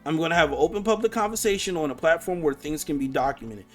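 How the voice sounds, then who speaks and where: Male, twenties, higher-pitched voice, fed-up and combative live-chat host, slangy and sweary, faint music underneath. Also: lively intonation, quick pace, a bit bright. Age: 20s. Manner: exasperated, confrontational, slangy and sweary. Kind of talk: casual argumentative live conversation.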